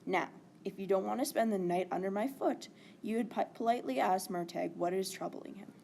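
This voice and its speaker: Woman reading a stern, creaky female dragon. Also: mid-range voice, a little fast, a little mellow, slight background noise, close to an everyday voice. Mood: stern, scolding. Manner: scolding, commanding.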